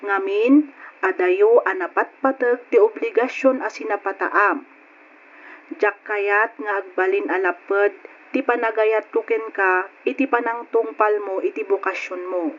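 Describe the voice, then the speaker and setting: A woman's voice: mid-range voice, fairly even intonation, unhurried, lo-fi sound, lightly acted. An actress playing a girlish younger sister figure in an old-style radio drama. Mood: tender, sorrowful. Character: younger sisterly girl reading a love letter aloud.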